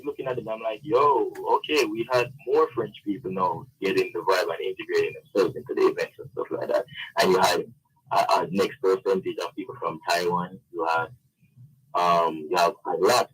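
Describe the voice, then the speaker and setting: A man's voice: low voice, steady tone, brisk, slight background noise, poor audio. A man talking casually as an interview guest, often dropping in "you know". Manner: conversational, frequent "you know". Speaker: interview guest, event organiser.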